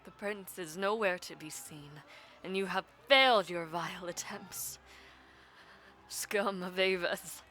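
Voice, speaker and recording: A woman playing a proud, powerful mage villainess in an audio drama, speaking in a formal, imperious and deliberate manner. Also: lowish voice, bright, forceful tone. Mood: defiant, vengeful. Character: proud powerful female mage, commanding, vengeful, self-sacrificing villainess. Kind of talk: dramatic audio roleplay acting.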